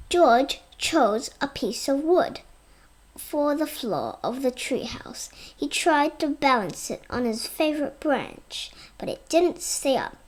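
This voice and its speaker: Little kid reading a picture book out loud, really slow, voice up high and bouncy. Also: low-quality recording. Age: child. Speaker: reader. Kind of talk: storybook read-aloud.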